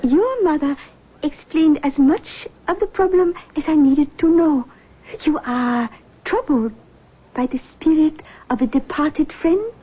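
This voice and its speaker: An actress playing a solemn, mysterious female spirit medium in a radio drama, with halting, theatrical phrasing. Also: high-pitched, expressive. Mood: composed, commanding. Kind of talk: 1970s radio drama acting. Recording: muffled old radio recording.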